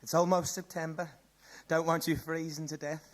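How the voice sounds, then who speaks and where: A man's voice: on the high side, flat delivery, normal speed, lightly acted. A man plays an anxious father in a radio drama. He talks in a fretful, caring way, as a protective parent who worries over his child. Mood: worried, fretful.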